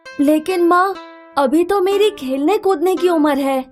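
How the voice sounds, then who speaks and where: A woman's voice: very high-pitched, a little slow, soft background music. A woman voicing a squabbling young twin farm boy in a cartoon story, with a childlike, whiny delivery. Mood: hesitant, curious. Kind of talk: animated moral story acting.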